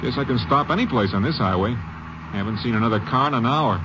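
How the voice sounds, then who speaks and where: A man's voice: medium pitch, quick pace, close to an everyday voice. An actor playing a chatty, trusting travelling salesman with a New York accent in an old radio drama. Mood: easygoing, tired. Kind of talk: 1950s radio drama acting. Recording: dull old radio recording, car noise.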